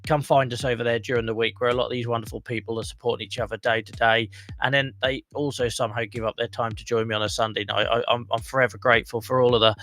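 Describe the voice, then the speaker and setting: A croaky man hosting a casual livestream talk show, chatting and greeting viewers by name. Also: medium pitch, fairly even intonation, quick pace, a bit bright.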